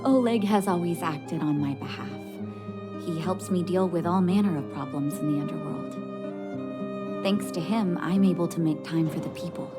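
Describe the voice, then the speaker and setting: Calm caring resistance leader lady, game cutscene, lowish voice, measured n earnest, music under it. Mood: grateful, composed. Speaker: video game voice actor. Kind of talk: video game cutscene dialogue. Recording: music playing behind the voice.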